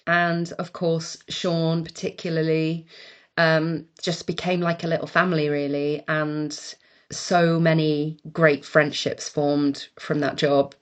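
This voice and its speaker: A Welsh woman, an actor, chatting casually in an interview, telling stories with laughs and quick restarts. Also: sits low, level tone. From Wales.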